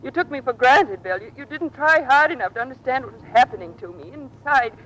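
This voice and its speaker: Earnest, troubled wife, noisy old radio drama. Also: female voice, expressive, fairly bright tone. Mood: hurt, pleading. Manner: halting, emotional, earnest. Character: troubled, self-sacrificing wife of a naval skipper. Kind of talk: vintage American radio drama acting. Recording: noisy vintage radio, engine rumble behind.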